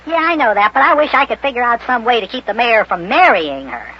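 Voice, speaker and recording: A man voicing an excitable, stubborn little boy in an old-time radio children's drama, blurting out indignant protests. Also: extremely high pitch, a little fast, husky, pitched above the performer's own voice, full character voice. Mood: worried. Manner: blurting, indignant, stammering when flustered. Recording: muffled vintage radio recording.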